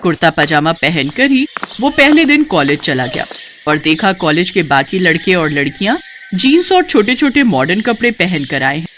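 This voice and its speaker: A woman's voice: lowish voice, very animated, normal speed, fairly bright tone, slight background noise. A woman narrating a scripted moral story for children as a storyteller.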